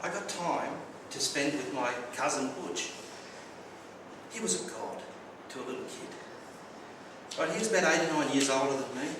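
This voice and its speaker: An Australian man with a fairly high voice tells stories from his childhood with dry humour, sometimes tripping over his words. He stands well back from the microphone, so his voice rings around a very echoey room. Clear background noise runs under everything he says. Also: expressive, moderate pace, recorded from a distance. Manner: anecdotal, wry humour, occasional stumbling repeats. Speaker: club speaker. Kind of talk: prepared personal speech.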